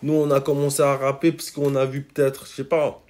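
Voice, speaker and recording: A man's voice: medium pitch, level tone, fairly quick, darkish tone. A man from Congo, a rapper guest, talking in a laid-back, slangy way and often saying "you see". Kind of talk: casual interview chat.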